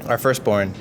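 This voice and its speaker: A young man hosting a casual podcast interview, animated and jokey, laughing easily between questions. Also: mid-range voice, background noise. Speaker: podcast host.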